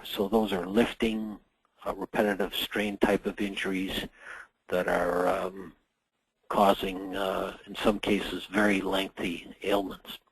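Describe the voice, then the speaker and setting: A middle-aged man, hoarse from a cold, presenting a workplace safety webinar in a measured way with frequent pauses. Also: on the low side, dry recording, rough recording. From Canada. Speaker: association general manager presenting a webinar.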